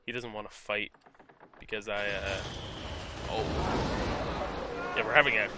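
A man's voice: on the low side, fairly even intonation, measured pace, very noisy. A man casually commentating a video game he is playing, joking and reading game text aloud in silly voices. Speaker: let's play commentator. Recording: game music behind the voice.

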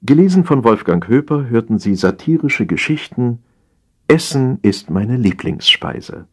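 A man with a husky voice reading satirical stories as an audiobook, in a theatrical, mock-solemn manner with deliberate phrasing. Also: mid-range voice, sing-song. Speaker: audiobook narrator.

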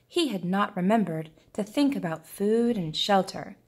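A woman reading a children's picture book aloud in a deliberate, expressive storytelling manner. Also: mid-range voice, breathy edge. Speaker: preschool teacher reading aloud.

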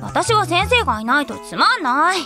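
A woman's voice: sits high, big pitch swings, normal speed, sharp tone, faint music behind, exaggerated voice. A woman voicing an earnest teenage schoolgirl, indignant and easily flustered, in an anime audio drama. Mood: pouty.

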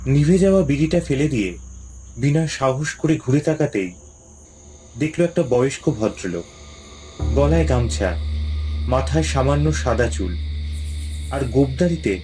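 A man narrating a ghost story in a measured, suspenseful storytelling style. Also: mid-range voice, animated, mellow, soft background music.

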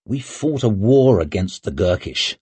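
A man voicing a blunt, gruff young male lord and war hero in his twenties. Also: on the low side, big pitch swings, unhurried, darkish tone, dry recording, full character voice.

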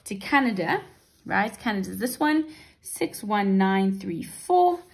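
A woman tutor talks through exam questions step by step at her desk. She sounds chatty and reassuring, often checking in with her students. Her voice sits in a middle pitch. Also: sing-song, takes it slowly, creaky. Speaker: maths teacher. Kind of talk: exam paper walkthrough lesson. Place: indoors at a wooden desk.

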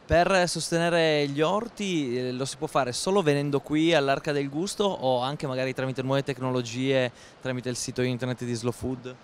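A man's voice: mid-range voice, normal speed, few pauses. Young male interviewer at a noisy fair. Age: young adult. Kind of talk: on-location interview questions. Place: busy indoor trade fair stand. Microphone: handheld microphone. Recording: clear background noise of busy fair.

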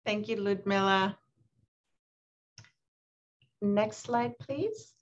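A woman's voice: medium pitch, drawn-out words. A woman hosting a video call event, introducing speakers with friendly, gracious thanks. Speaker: event host. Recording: slightly dull video call audio.

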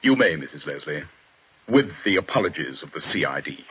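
A man playing a calm British police inspector in a 1940s radio drama, dry and measured. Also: a bass voice, husky, full character voice. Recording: dull 1940s radio broadcast.

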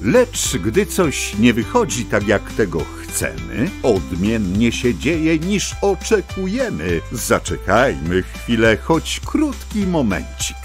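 Hugely animated male storyteller. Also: mid-range voice, slow pace, hardly any pauses. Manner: theatrical, expressive storytelling. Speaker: narrator. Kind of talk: scripted children's story narration. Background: music.

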